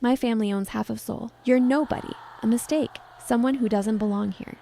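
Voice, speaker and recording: Haughty teenage bully for an audio story, read by a woman: high-pitched, honeyed, cutting, possessive. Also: fairly even intonation, fairly quick, creaky, warm tone, a little hiss or hum. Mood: sneering contempt. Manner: honey-sweet but cutting. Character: haughty teenage mean girl, sweetly cruel and possessive.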